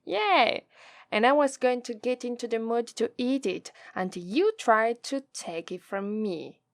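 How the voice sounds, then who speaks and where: A woman with an Italian accent voicing a gloating, teasing female demon captor in a slow, drawling ASMR roleplay. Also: a little high, animated, no room sound, studio quality. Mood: playful, teasing. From Italy. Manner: slow, drawling, taunting, playful menace.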